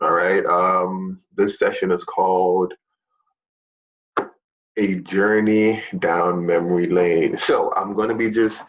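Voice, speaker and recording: Young male fitness teacher from Jamaica, very deep voice, relaxed and chatty, dull lo-fi sound. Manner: relaxed, chatty, many fillers. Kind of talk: casual talk to students.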